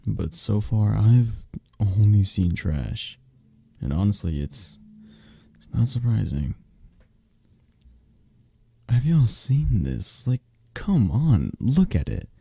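A man playing a possessive, flirtatious, intimidating mafia boss in an ASMR roleplay, husky and murmuring, with drawn-out teasing pauses. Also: fairly low pitch, sing-song, dark tone, no room sound, clean studio sound, exaggerated voice. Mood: cool, appraising. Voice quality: husky, gravelly, at times breathy.

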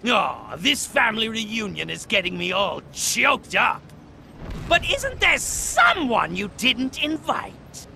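A taunting game supervillain, high and very sharp with a creak in it. He sneers slowly and sarcastically. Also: very animated, slight background noise, heavily acted. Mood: mocking. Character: mocking, sarcastic cackling supervillain. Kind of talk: superhero video game acting.

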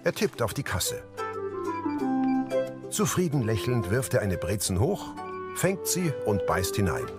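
Lowish male audio-description narrator over music. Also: sing-song, measured pace, pauses often. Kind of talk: scripted present-tense narration.

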